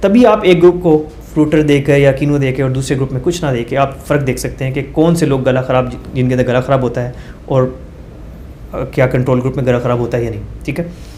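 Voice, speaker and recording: A man's voice: medium pitch, steady tone, a little fast, mellow, slight background noise. A male doctor explaining research methods as a guest, walking through numbered points with everyday examples and hesitant fillers. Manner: hesitant fillers, mixes English phrases.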